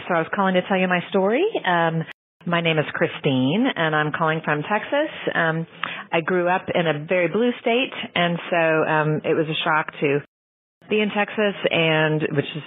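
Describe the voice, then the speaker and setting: A woman leaving a casual, halting personal account as a listener's voicemail over the phone. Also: low voice. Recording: tinny phone voicemail line.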